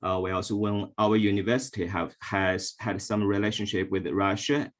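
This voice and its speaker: Middle-aged male teacher, Chinese accent, halting ceremony speech, low voice. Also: level tone. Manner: hesitant, many fillers and restarts.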